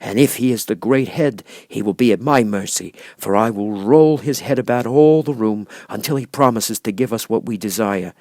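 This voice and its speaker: A man's voice: on the low side, normal speed, few pauses, a little mellow, no room sound, clean studio sound, lightly acted. A man voicing a cowardly lion who puts on a blustering, emphatic show of bravery. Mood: blustering, hearty.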